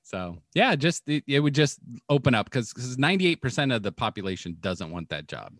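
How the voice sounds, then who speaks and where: A man co-hosting a podcast, sharing a news story in casual chat with playful deadpan jokes. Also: mid-range voice, a little fast, no room sound, studio quality. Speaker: podcast co-host.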